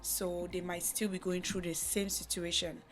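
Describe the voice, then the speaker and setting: A woman from Cameroon with a Cameroonian accent, giving a reflective personal account as a guest, halting and pausing mid-thought. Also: fairly low pitch, little pitch movement, faint music behind. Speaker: podcast guest, charity representative.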